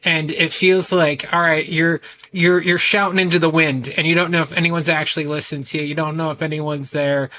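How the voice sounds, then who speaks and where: A middle-aged American man with a loud voice, telling his story candidly in a conversational interview, with frequent restarts and fillers. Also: on the high side, level tone, fairly quick, rough recording. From United States. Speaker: guest, men's ADHD coach.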